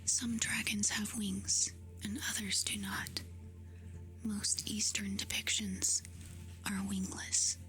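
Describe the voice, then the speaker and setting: A woman doing soft-spoken ASMR, reading facts aloud in a hushed, soothing, breathy whisper. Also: mid-range voice, little pitch movement, low-quality recording. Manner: slow, hushed, soothing delivery with short phrases. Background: music.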